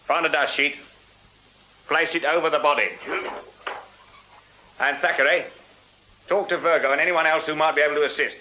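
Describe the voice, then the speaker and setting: An English actor playing a shrewd, stern Victorian police sergeant in a radio drama, dry and authoritative in manner. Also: male voice, fairly high pitch, fairly even intonation, normal speed, long pauses, pushed and bright. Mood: brisk, commanding. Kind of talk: British radio drama acting. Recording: dull radio drama sound with noise.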